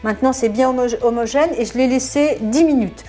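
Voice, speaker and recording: A woman's voice: fairly high pitch, fairly quick, warm tone. A middle-aged woman from the Ardennes showing a family recipe in her home kitchen, chatty and hesitant with lots of fillers. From Ardennes, France. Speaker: home cook host. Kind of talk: casual cooking demonstration.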